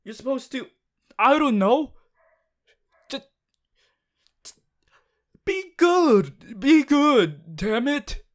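A man's voice: very high voice, very animated, extremely slow, long pauses, full character voice. A man voicing a strict, earnest teenage class president, formal and preachy, in an audio roleplay. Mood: flustered, exasperated. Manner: formal, preachy, exasperated, stiff phrasing. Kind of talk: ASMR character audio roleplay monologue.

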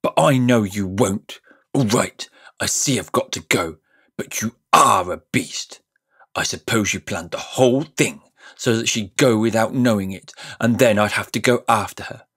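A man's voice: lowish voice, unhurried, fairly bright tone, slightly rough, full character voice. A man voicing a blunt, indignant young schoolboy in a storybook reading. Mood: defiant, indignant. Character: angry, defiant young schoolboy. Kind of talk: audiobook character voice.

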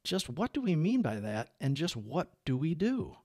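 A man narrating a book aloud as a reader, with measured, clearly phrased delivery. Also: on the high side, very animated, darkish tone, no echo, clean studio sound. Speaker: audiobook reader.

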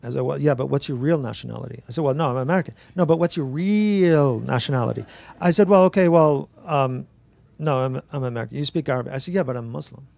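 An older man, an author at a bookstore talk, telling stories to an audience on a handheld mic, animated and acting out dialogue with frequent restarts. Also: medium pitch, fast talker, vocal fry, no echo. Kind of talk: relaxed storytelling to an audience. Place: bookstore event space.

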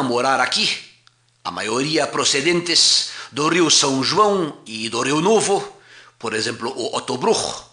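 Bright-voiced pastor reading a formal history. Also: male voice, a little high, roomy sound. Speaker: pastor narrating parish history. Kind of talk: scripted documentary narration.

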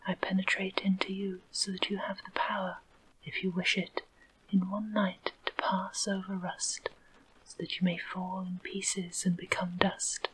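A woman's voice: medium pitch, little pitch movement, unhurried, breathy edge, poor audio. A woman whispering as a boastful raindrop.

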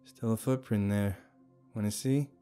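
A man's voice: fairly low pitch, fairly even intonation, unhurried, mellow, smooth, soft background music, close to an everyday voice. A man voicing a teasing, informal young woman, a maid in an audio story, with a breezy manner.

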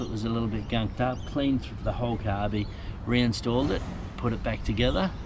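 A middle-aged man in a small boat outdoors, chatting practically about repairs to an action camera. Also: fairly low pitch. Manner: chatty, practical, self-mocking. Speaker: sailing vlogger. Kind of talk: casual how-to explanation. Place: small inflatable boat on a marina canal outdoors. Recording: loud wind and water noise outdoors. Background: wind and water noise.

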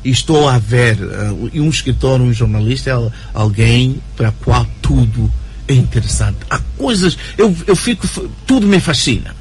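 A writer and journalist as a radio interview guest, talking in an animated way with many fillers and tag questions. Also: male voice, mid-range voice, normal speed, faint music behind. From Angola. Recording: radio broadcast recording.

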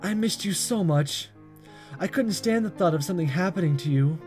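A man voicing an affectionate, anxious teenage schoolgirl in love from a visual novel, halting and tender. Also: sits high, a little mellow, soft background music, close to an everyday voice. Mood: relieved, tearful worry. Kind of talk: visual novel voice-over read aloud.

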